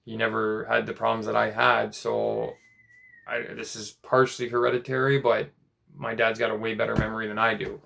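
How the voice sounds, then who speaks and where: Halting, gravelly-voiced young man. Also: lowish voice, level tone, pushed and bright, a little hiss or hum, rough recording. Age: 20s. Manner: rambling, halting, with frequent restarts and fillers. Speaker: vlogger. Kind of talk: casual personal vlog.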